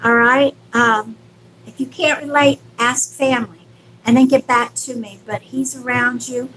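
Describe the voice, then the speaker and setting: A woman's voice: a little high, steady tone, measured pace, pushed and bright, slight background noise, rough recording. A woman hosting a live stream as a psychic medium, speaking casually and affectionately to viewers with reassurance and endearments.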